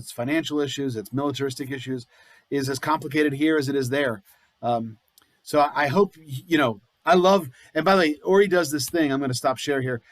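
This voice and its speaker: A man hosts a congregation program over a video call, moderating a question-and-answer session. He talks casually and leans on fillers. The sound is dry, with no room echo. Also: mid-range voice.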